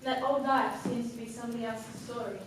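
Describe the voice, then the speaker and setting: A coldly self-possessed, cunning woman played by a young student actress delivers a stage monologue in a highish voice. Her delivery is formal, deliberate and dramatic. It is captured from a distance in a very echoey room with clear background noise. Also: steady tone, close to an everyday voice. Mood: cool, confiding. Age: young adult. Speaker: student actor. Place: classroom performance in front of class.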